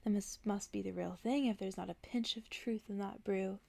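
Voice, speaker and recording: A woman voicing a weary, blunt old witch in a soft ASMR storybook reading, dry and chiding in manner. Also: mid-range voice, fairly even intonation, normal speed, creaky. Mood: dry, probing.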